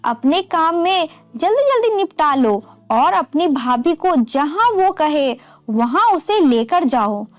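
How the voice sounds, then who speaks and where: Domineering older brother, slow-spoken read by a woman. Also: high voice, big pitch swings, dry recording, soft background music, full character voice. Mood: commanding. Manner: scolding. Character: irritable, domineering older brother, the family breadwinner.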